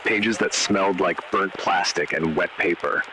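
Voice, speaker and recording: A man with a very low voice narrates a horror story. He is measured and grave, letting short, ominous phrases fall one by one. Noticeable static runs through the recording. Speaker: narrator of an analog horror video. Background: static noise.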